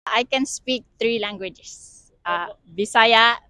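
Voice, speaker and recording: A woman with a Cebuano accent, giving slow, simple answers in a street interview. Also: fairly high pitch, bright, forceful tone, clean tone, dry recording.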